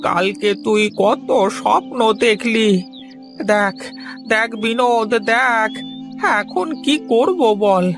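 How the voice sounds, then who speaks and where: Man acting a high-pitched wailing village farmer. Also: over music. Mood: distraught. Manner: plaintive, lamenting, drawn-out phrases. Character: despairing, debt-ridden village farmer, elder brother, prone to wailing. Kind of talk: Bengali cartoon dubbing.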